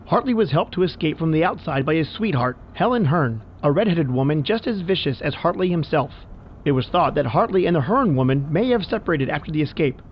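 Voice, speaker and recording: Casting: actor as old-time radio newsman, higher male voice, grave and emphatic, dull lo-fi car-radio sound. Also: animated, fairly quick. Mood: grave warning. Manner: urgent, formal, emphatic. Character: grave male radio announcer reading an escaped-killer warning. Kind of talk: 1950s radio news bulletin. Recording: band-limited car radio, clear noise.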